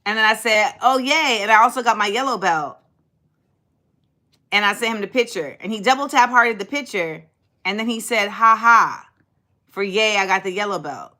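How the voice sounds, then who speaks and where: Bright-voiced chatty woman, livestream host. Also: mid-range voice, moderate pace. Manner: chatty, sassy, animated, reads and answers viewer comments. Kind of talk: casual livestream chat with viewers.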